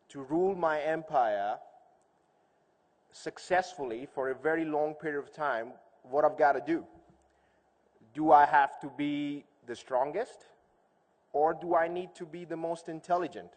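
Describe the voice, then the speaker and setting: A man's voice: mid-range voice, a little mellow. A man giving an informal public speech as a conference speaker, conversational, telling stories and posing rhetorical questions. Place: large hall, speaking to an audience. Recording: echoey hall with long reverb.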